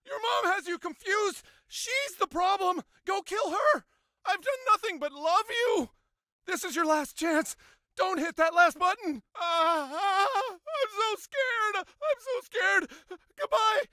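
Cartoon game dad, American accent, high-pitched, very animated, high-strung, falsely cheery, foul-mouthed. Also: male voice, takes it slowly, no echo, studio quality, heavily acted. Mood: panicked, pleading. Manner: swings between sugary pet names and shrill cursing. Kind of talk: animated comedy video game acting.

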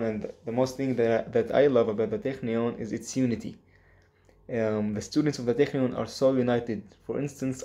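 A young man in his twenties, a university student from northern Israel whose first language is Arabic, recording a halting, earnest greeting on a webcam in a small room. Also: mid-range voice, mellow. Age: 20s. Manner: halting, earnest, with fillers and restarts. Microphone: webcam on a video call.

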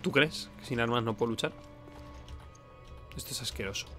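A man's voice: medium pitch, normal speed, pushed and bright, faint music behind. A man with an accent from Spain giving casual, sarcastic gameplay commentary as a streamer. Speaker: video game streamer.

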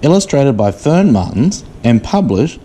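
A woman with a very deep voice reads a children's storybook at an unhurried pace. Her delivery is measured but lively in intonation, slipping into casual questions for a small child. It is a home camera recording with some room noise. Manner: measured storybook phrasing, asking simple questions. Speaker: parent reading aloud to a small child. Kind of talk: picture-book reading mixed with casual chat to a child. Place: living room indoors.

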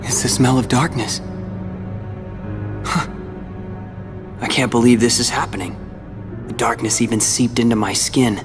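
A man playing a brooding young fighter who talks quietly about the darkness inside him in a game cutscene. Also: mid-range voice, steady tone, measured pace, pauses often, long reverb tail, music in the background, lightly acted. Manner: quiet and brooding, turning defiant. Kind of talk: video game cutscene dialogue.

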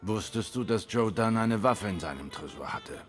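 An actor playing a middle-aged private detective in a noir game, questioning in a measured, dry manner. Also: male voice, on the low side, lively intonation, faint music behind, lightly acted. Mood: cool, probing. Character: cool, probing male cat private detective, middle-aged. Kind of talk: German game dub, noir dialogue.

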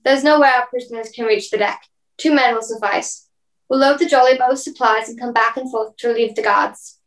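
High-voiced woman as a brisk ship's captain. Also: fairly even intonation, natural voice, poor audio. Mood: decisive. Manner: crisp orders, formal. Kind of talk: radio play acting.

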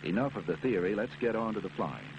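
Low, lively American male film narrator. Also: fast talker, highs cut off. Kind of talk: scripted instructional narration. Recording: noisy 1970s 16mm film soundtrack.

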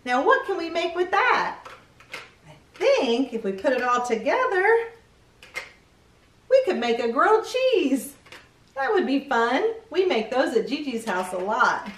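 A middle-aged woman hosting a children's read-aloud, expressive, reading picture-book lines and chatting to young viewers with questions. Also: sits high, measured pace. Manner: expressive, addressing small children with questions and exclamations. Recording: roomy echo, distant microphone.

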